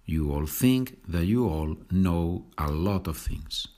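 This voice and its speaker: Slow, low-voiced Spanish man teaching. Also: lively intonation, mellow. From Spain. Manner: patient, enunciates slowly, repeats phrases. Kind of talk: slow, repetitive language lesson.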